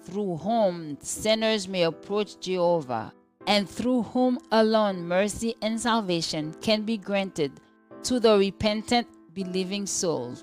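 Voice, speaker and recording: Slow-paced woman reading a devotional. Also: medium pitch, soft background music. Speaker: devotional reader.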